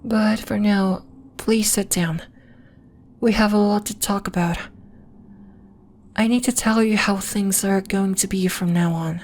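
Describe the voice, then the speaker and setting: A woman playing a serene, solemn alien moon healer in an ASMR roleplay, speaking soothingly in formal, deliberate phrases. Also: mid-range voice, steady tone, darkish tone, natural voice. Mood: gentle, casual. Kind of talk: ASMR roleplay, soft scripted monologue addressed to listener.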